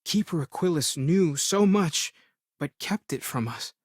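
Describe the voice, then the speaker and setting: A man's voice: on the high side, lively intonation, unhurried, no echo. A bookish young space explorer for a video game, sincere and reflective in his delivery. He talks conversationally, as if working through big questions, in a very dry recording with no room around it. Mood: earnest, thoughtful. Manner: thoughtful, earnest, conversational. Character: earnest, bookish young male spiritual scholar and space explorer. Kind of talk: video game character dialogue.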